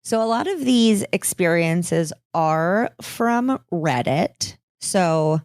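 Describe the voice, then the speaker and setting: A woman's voice: fairly low pitch, animated, slow, a little mellow, no room sound, studio quality. A woman hosting a podcast, telling stories in casual chat with a wry, candid manner and some swearing. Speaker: podcast host. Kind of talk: casual storytelling chat with a co-host.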